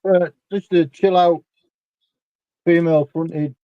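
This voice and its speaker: A man in his forties chatting casually on a video call and talking about albums in a relaxed way. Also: fairly high pitch, unhurried, smooth, dry recording, highs cut off. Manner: laid-back, talks through records. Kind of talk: casual chat. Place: home room with a dark curtain behind. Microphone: webcam video call.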